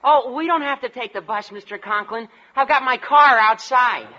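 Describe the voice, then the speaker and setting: An actor playing a cheerful, oblivious teenage high school boy in a 1940s radio comedy. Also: normal speed. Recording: dull, noisy 1940s radio recording, studio audience.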